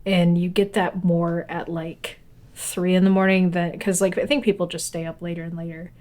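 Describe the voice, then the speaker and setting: A woman co-hosting a podcast in casual conversation, with halting, informal phrasing that trails off. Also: lowish voice, little pitch movement, a little mellow. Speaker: podcast co-host.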